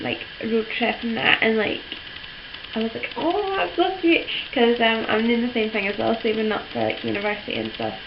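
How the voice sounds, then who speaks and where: A teenage girl, hoarse from a cold, rambling through a casual vlog with lots of ums and likes. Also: on the high side. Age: teens. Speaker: vlogger. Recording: dull lo-fi with steady background noise.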